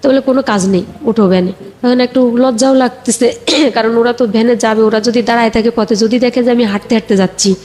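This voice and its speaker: A Bangladeshi woman telling her own life story conversationally, a little haltingly, quoting remembered exchanges. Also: a little high, fairly even intonation, darkish tone, slight background noise. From Bagerhat area, Bangladesh.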